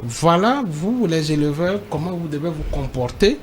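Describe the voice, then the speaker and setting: A man speaking as a debate panelist, halting and repeating himself but emphatic. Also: fairly high pitch, very animated, slight background noise. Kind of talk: debate commentary.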